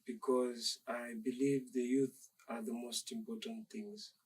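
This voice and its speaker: Measured, polite young man from Zambia. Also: medium pitch, sing-song, darkish tone, breathy edge, recorded from a distance, rough recording. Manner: measured, reflective, polite with "sir". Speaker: dancer guest. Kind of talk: interview conversation.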